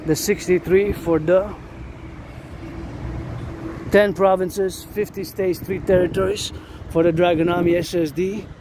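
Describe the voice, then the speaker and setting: A man's voice: high voice, fairly even intonation, a little slow, pauses often. A man delivering a rambling outdoor monologue, insistently repeating words and phrases. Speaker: vlogger. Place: outdoors near a road with vehicles. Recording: loud outdoor traffic noise. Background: passing vehicles, urban ambience.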